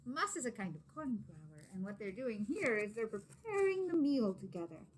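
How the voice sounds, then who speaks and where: A woman reading a children's picture book aloud expressively, pausing to explain and put questions to young listeners. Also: mid-range voice, very animated, a little hiss or hum. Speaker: read-aloud storyteller, teacher.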